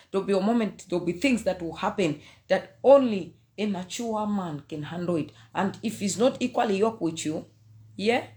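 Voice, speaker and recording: A woman in her thirties from Zambia with a Zambian accent, talking in a lively, casual preachy way to a phone camera in a small room, often laughing and mixing in pidgin phrases. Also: mid-range voice. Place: small indoor room, seated on a sofa. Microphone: phone camera at arm's length.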